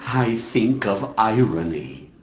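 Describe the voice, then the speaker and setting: Solemn, slow-spoken monk. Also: male voice, on the low side, big pitch swings, slight background noise. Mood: defiant. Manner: deliberate, dramatic, solemn. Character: defiant monk, earnest and indignant. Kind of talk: scripted video game cutscene acting. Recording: echoey game cutscene audio.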